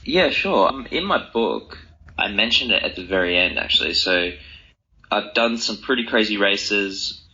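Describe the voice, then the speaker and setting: A man with a deep voice and a very bright, forceful edge answers interview questions. He talks conversationally, in an earnest and reflective way. The recording is slightly muffled. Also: animated, normal speed. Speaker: interview guest, author. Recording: slightly dull, lo-fi sound.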